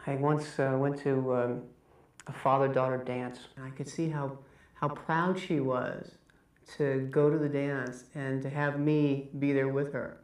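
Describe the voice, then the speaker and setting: Man, doctor, halting casual talk, echoey room, distant mic, faint ambience. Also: medium pitch, level tone. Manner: halting, with fillers and restarts.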